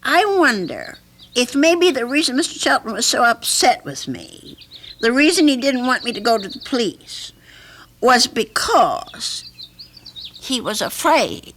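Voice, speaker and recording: An actress playing an anxious, earnest older woman with a troubled conscience in a radio drama, speaking haltingly. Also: a little high, sing-song, a bit bright. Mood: earnest, insistent. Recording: faintly noisy archival radio recording.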